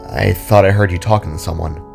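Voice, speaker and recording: A man playing a gentle, concerned father in a fantasy audio story, speaking carefully. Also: sits low, steady tone, brisk, vocal fry, soft background music, pitched below the performer's own voice. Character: gentle, concerned father spark, adult male.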